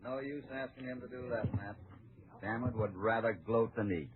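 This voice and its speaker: An actor playing a calm, confident cowboy hero with a dry, easygoing manner in an old-time radio western. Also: male voice, medium pitch, normal speed, phone-quality sound, close to an everyday voice. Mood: calm, wry. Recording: noisy, thin old radio recording.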